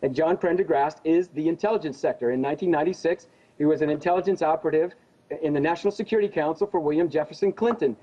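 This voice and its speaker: A man's voice: fairly high pitch, quick pace, dark tone. An American man giving opinionated commentary as a news interview guest, speaking in an emphatic, accusatory and insistent way. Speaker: interview guest, genocide investigator. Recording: dull lo-fi audio.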